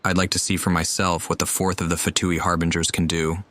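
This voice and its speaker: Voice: deep voice, flat delivery, brisk, a bit bright, a little hiss or hum. A gamer commenting on a video game playthrough, reading along in role and reacting off the cuff. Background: game music.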